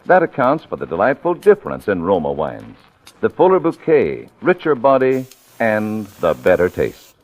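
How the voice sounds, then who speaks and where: Animated low-voiced American radio announcer. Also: male voice, normal speed. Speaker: radio announcer and sponsor spokesman. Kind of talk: 1940s radio advertisement, scripted. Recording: old radio recording, faint music behind.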